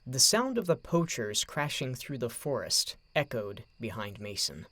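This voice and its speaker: A man narrating a scripted story in a measured, dramatic way, with deliberate phrasing. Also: medium pitch, sing-song, pushed and bright.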